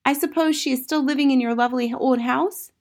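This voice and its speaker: A woman voicing a bright, indignant and eager schoolgirl in a storybook, asking emphatic, forthright questions. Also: high voice, brisk, voice pushed up. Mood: indignant, insistent.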